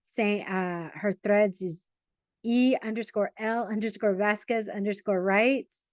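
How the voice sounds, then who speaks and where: A woman hosting a podcast interview, a lively storyteller who mixes Spanish words into her English. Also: medium pitch, measured pace, dry recording, studio quality. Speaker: podcast host. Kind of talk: casual interview chat.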